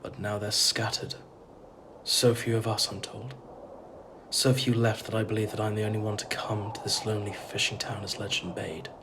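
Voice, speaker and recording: A man's voice: on the low side, level tone, moderate pace, lightly acted. An actor playing a polite, uneasy traveller in an audio drama, earnest and hesitant in his delivery. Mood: solemn. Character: uneasy, polite male traveller narrating his strange homecoming. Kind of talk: audio drama narration and dialogue. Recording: clearly noisy ambience behind. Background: ambience noise.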